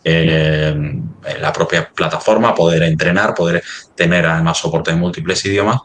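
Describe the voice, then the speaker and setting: A man from talking business conversationally, halting and rephrasing as he goes, often ending on tag questions. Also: low voice, fairly bright tone, a little hiss or hum. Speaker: company representative in a webinar.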